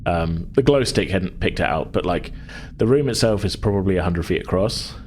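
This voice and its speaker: A man's voice: low voice, lively intonation, moderate pace, darkish tone, a little hiss or hum. A man narrating a tabletop game as game master, improvising in loose, hesitant, informal phrases.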